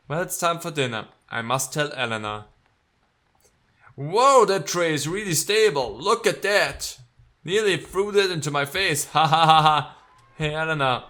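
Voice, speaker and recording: A man's voice: fairly high pitch, bright, forceful tone. A man doing let's play commentary, reading game text aloud and reacting with sarcasm and exasperated swearing. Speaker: let's player.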